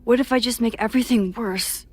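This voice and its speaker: A woman's voice: fairly high pitch, a little fast, darkish tone, natural voice. A woman acting an anxious, guilt-ridden young American woman in a video game, with a wry, self-doubting manner. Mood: worried, doubtful. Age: young adult. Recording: music under the voice. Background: game music.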